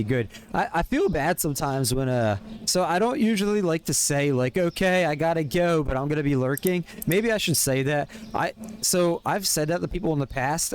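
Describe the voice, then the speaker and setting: A man's voice: mid-range voice, slight background noise. A young man from Pennsylvania with a Philadelphia accent, chatting casually and rambling to his stream viewers. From Pennsylvania, USA. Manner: rambling, chatty, friendly, lots of fillers.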